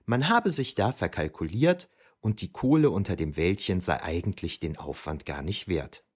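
An actor playing a shaken eyewitness, narrating an audio drama in the first person with deliberate, suspenseful emphasis. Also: male voice, deep voice, expressive, slightly rough. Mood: grave, tense. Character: shaken eyewitness recounting an eerie forest battle.

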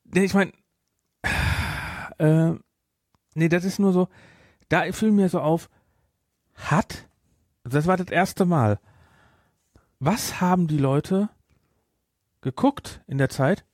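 A man with a German accent chatting casually about a film as a podcast host, with an animated, teasing manner. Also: on the high side, takes it slowly, long pauses, no room sound, clean studio sound. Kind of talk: casual chat, film discussion.

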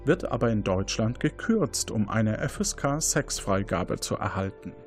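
A man presents a quiz game as its host. His mid-pitched voice moves with lively intonation at an unhurried pace, over faint music.

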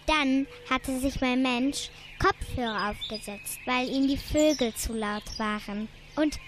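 A woman's voice: sits high, takes it slowly, noisy background. A woman playing a chatty, eager lost earworm in a children's radio play, explaining things at hesitant length.

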